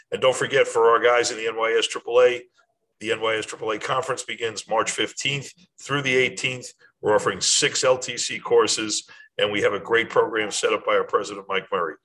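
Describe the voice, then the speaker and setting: A man's voice: mid-range voice, pushed and bright, low-quality recording. An older man hosting a short advice series, talking informally and instructively in a plainspoken way straight to the listener. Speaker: host of a short athletic administration advice series. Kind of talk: informal talk to camera, instructional.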